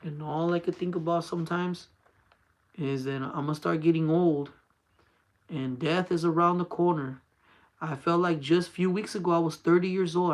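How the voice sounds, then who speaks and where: A man in his forties hosting a casual faith talk for his channel, speaking in an earnest, repetitive, urging way. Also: on the high side. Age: 40s. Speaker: channel host.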